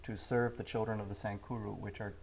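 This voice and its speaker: A man's voice: lowish voice, little pitch movement, fairly quick, a little mellow. A man from the Congo speaking conversationally as a guest in an interview. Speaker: interview guest, motorcycle YouTuber. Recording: clear background ambient noise.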